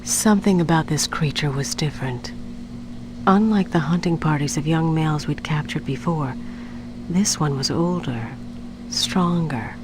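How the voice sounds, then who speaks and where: A woman playing a cold, calculating mastermind in a video game cutscene, speaking in a measured, ominous way. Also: low voice, close to an everyday voice. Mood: brooding, haunted. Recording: very noisy game cutscene audio.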